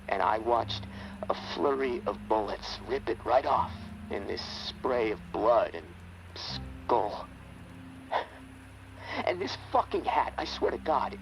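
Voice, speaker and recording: An actor playing a guilt-haunted, weary American soldier in a video game, halting and foul-mouthed as he confides. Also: mid-range voice, creaky, rough recording. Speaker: survivor confiding in a friend over radio. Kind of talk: dramatic video game acting.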